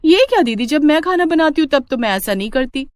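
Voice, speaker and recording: Woman acting whiny, high-pitched young daughter-in-law. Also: lively intonation, fairly quick, no echo, clean studio sound. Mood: indignant. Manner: whiny, protesting. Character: bickering younger daughter-in-law dodging kitchen work. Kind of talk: Hindi family story dialogue.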